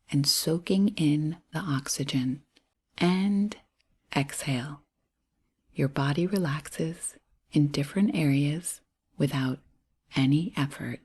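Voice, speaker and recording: A woman guiding a breath and body scan meditation in a soft, breathy voice, soothing and gentle, pausing between short phrases. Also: sits low. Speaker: meditation guide.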